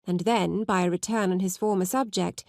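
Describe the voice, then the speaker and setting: A woman reading literary fiction as an audiobook narrator, measured and articulate. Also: on the low side, fairly even intonation, vocal fry, darkish tone, dry recording, clean studio sound.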